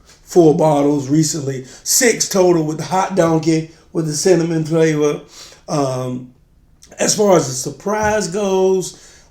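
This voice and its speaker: A man with a slightly raspy, congested voice chatting casually as co-host of a drinks review show. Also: a little high, a little mellow. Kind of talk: casual chat. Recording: roomy, echoey sound.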